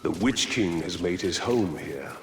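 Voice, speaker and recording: An actor playing a grim, resolute human ranger in a video game, speaking tersely and dryly. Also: male voice, low voice, expressive, a little slow, a little hiss or hum. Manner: terse, dry, determined. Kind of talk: dramatic video game dialogue.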